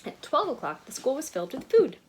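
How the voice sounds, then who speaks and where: A woman reading a picture book aloud to children in an expressive way, with chatty asides to her young listeners. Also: high-pitched, fairly quick, background noise. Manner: animated, expressive storytelling with questions to listeners.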